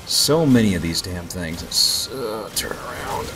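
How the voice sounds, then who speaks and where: A man's voice: low-pitched, lively intonation, measured pace, background noise. A man hosting a let's play, giving casual, joking gaming commentary. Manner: joking banter. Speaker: let's play host playing the game. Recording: game music behind the voice. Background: video game music.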